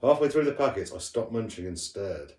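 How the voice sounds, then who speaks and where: Male storybook reader, lowish pitch, expressive teacher delivery, roomy distant sound. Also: brisk. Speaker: primary school teacher reading to pupils. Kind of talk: storybook read-aloud. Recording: roomy echo, distant from the mic.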